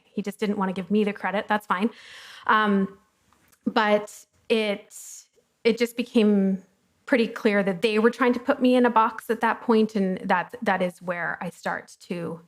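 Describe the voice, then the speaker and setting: Woman, business co-founder, chatty interview guest, frank and casual. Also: mid-range voice. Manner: chatty and candid, with frequent ums. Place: coworking studio, fireside chat before an audience.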